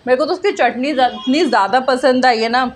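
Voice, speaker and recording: A woman in her twenties reviewing food at a small cafe, chatting casually and drawing out her tasting remarks slowly. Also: high voice, soft background music. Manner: chatty, mixes Hindi and English, slow drawn-out tasting remarks. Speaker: food vlogger. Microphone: handheld phone camera at arm's length.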